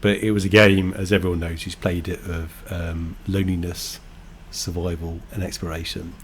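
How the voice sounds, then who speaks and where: An English man co-hosting a podcast, talking thoughtfully in halting, restarting sentences. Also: sits low, level tone, slight background noise. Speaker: podcast co-host, game designer. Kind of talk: casual chat.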